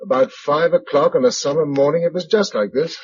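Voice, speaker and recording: Wry survivor, muffled radio drama. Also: male voice, a little high, fairly quick, breathy edge, dry recording. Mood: sombre, reflective. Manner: dry, wry understatement. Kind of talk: British radio drama acting.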